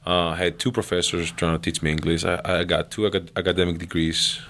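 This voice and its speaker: A man's voice: low-pitched, little pitch movement, fast talker, fairly bright tone. A young man from Greece with a Greek accent, chatting earnestly as an interview guest and laughing often. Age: young adult. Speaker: guest athlete. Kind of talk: casual interview chat.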